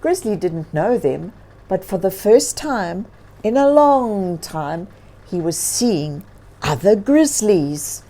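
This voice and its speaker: A woman reading a children's picture book aloud as a storyteller, with dramatic, expressive emphasis and chatty asides to young listeners. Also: medium pitch, slow.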